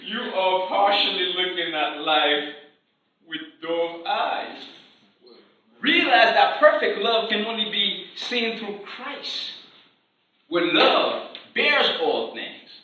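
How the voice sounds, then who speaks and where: Earnest preacher-like stage role, male actor, high and slow-paced, theatrical; distant echoing capture. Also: expressive, sharp tone, exaggerated voice. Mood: fervent. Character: earnest pious preacher-like stage character urging love. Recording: very echoey distant muffled stage recording.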